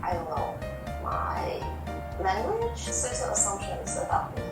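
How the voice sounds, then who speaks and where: A woman's voice: medium pitch, huge pitch swings, a little slow. A woman from China with a Chinese accent, answering casually as an interview guest in a non-native language. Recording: distant, echoey, with loud music behind.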